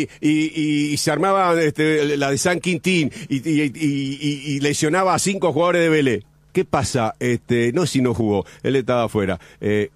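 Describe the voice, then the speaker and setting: A man's voice: on the high side, no echo. A man with an Argentine Spanish accent arguing football rules on a sports talk show, insistent, repeating his points, with many hesitations. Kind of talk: heated football debate.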